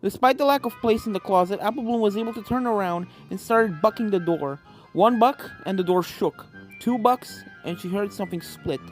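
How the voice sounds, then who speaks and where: A woman's voice: medium pitch, normal speed. A woman narrating a scripted story expressively. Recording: music behind the voice.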